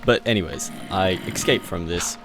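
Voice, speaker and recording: Man, low-pitched gritty voice, casual gameplay narration, jokey and conversational, music behind. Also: moderate pace. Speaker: gaming video creator narrating gameplay.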